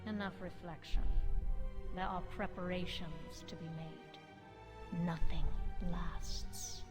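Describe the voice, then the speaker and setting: A woman's voice: fairly low pitch, steady tone, slow pace, music in the background. A woman playing a cold, embittered undead banshee queen, speaking with imperious deliberation in a dramatic game cinematic. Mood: menacing, ominous.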